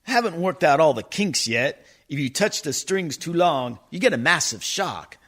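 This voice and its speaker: An actor playing a brash, excitable talent agent obsessed with being cool in a comic radio play. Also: male voice, fairly high pitch, moderate pace. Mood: giddy, showy.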